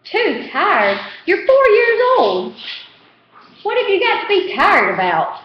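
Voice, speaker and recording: A woman's voice: very high voice, huge pitch swings, slow pace, reverberant, dull audio, voice pushed up, heavily acted. A woman voicing an exasperated, scolding aunt hollering at her little boy in a storybook reading.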